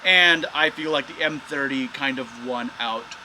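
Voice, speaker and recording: A man's voice: mid-range voice, a little slow, noisy background. A man hosting a casual, hands-on product test of drone gear as a reviewer.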